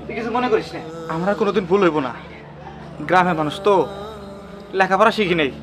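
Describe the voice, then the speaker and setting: An actor playing a warm-hearted, eager village friend in a drama, with a rural Bangladeshi accent. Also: male voice, high voice, quick pace, background noise, close to an everyday voice. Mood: hurt, humble. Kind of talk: Bangla short-film drama acting.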